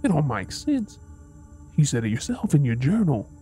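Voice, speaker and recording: A man's voice: fairly high pitch, sing-song, normal speed, warm tone, faint music behind. Man acting an earnest, troubled Australian werewolf. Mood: urgent realization. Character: earnest, troubled young male werewolf boyfriend. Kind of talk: ASMR audio drama.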